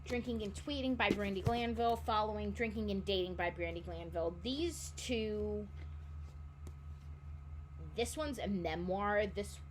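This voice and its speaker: A young woman in her twenties talks casually and rambles, often stopping to correct herself mid-sentence. Her voice sits at an ordinary pitch for a woman. A faint hum runs underneath. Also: measured pace. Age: 20s. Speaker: book vlogger. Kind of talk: casual chat.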